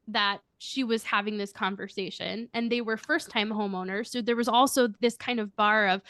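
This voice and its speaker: Woman with a high, girlish voice. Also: fairly bright tone, dry recording. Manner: earnest, reflective storytelling. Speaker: guest, coach and firm leader. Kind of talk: podcast interview answers.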